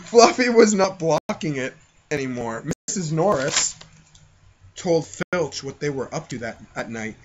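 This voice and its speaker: Male podcast host, high voice with big swings, giggly and sweary, reading aloud between casual asides; muffled recording. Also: expressive, a little slow, noisy background. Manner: giggly, self-mocking, foul-mouthed. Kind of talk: reading own childhood fan fiction aloud with casual banter.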